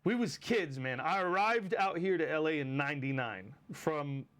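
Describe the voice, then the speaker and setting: A man in his forties from Lebanon with a Lebanese accent, telling stories in a casual chat, animated and full of swearing and fillers. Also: a little high, moderate pace, smooth. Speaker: battle rapper guest.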